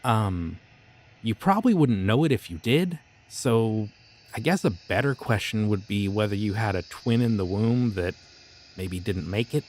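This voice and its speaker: A man voicing a friendly but creepy young occult shopkeeper in an audio roleplay, talking in a casual, easygoing way about dark rituals. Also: fairly low pitch, lively intonation, unhurried, a little hiss or hum. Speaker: voice actor.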